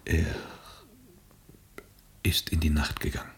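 A somber, reluctant revolutionary in a radio play. The voice is rock-bottom low, creaky and very husky. He talks in terse, subdued phrases with grave restraint. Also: little pitch movement, slow pace, whispered, full character voice. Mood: grave, confessional.

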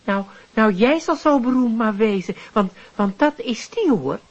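Nervous nosy widow in a radio play, husky voice, pitch jumps around a lot, stammery repeats. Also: female voice, lively intonation, a little slow, highs cut off. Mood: excited, proud. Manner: hesitant, repeats her words. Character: nervous, chatty, prying widow awaiting a dinner date. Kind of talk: radio drama dialogue.

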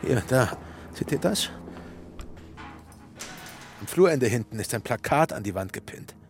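An actor playing a wary dissident man in a radio drama, confiding haltingly as if to listeners. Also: mid-range voice, expressive, soft background music. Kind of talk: modern radio drama monologue.

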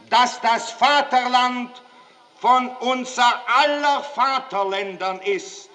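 An actor playing a solemn, impassioned post-war German parliamentarian, giving a formal speech in deliberate, oratorical phrases. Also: male voice, very high voice, pushed and bright, recorded from a distance, heavily acted. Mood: impassioned appeal. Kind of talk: formal political speech to an assembly. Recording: echoing hall from afar, faint noise.

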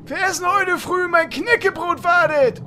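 A woman acts a bossy, battle-proud warrior in a gaming parody. The voice is extremely high and brash, under very loud ambient noise. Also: fairly even intonation, unhurried. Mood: boastful. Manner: brash, exclamatory. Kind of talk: comedic German radio play parody.

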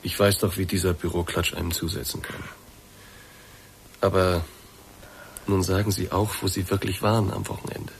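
Low-voiced, composed investigator. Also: male voice, little pitch movement, creaky, slight background noise. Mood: calm, probing. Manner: formal, measured, soothing. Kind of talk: German radio play acting.

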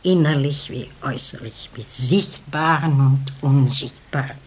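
An actress playing a disillusioned, defiant mother in a classic radio play, speaking with deliberate, ironic intensity. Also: extremely low pitch, husky, heavily acted. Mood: resigned. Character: disillusioned, defiant widowed mother, bitterly candid.

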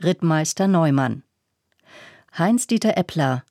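A woman announces credits in a mellow, fairly low voice. Her reading is formal, neutral and unhurried, with a very dry, close studio sound. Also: expressive, dry recording. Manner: measured, neutral. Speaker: radio announcer.

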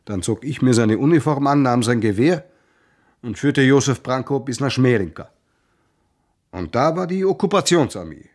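An actor playing a humble, devout old coachman in a radio drama, telling things in a plaintive, emphatic way with a husky voice. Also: medium pitch, a little mellow, natural voice.

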